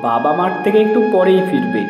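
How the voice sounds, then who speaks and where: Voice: medium pitch, very animated, fairly quick, close to an everyday voice. An actor voicing an eager, chatty young village boy in a cartoon, with a pleading manner. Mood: curious, eager. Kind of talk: cartoon dialogue. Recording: echoey reverb, music behind.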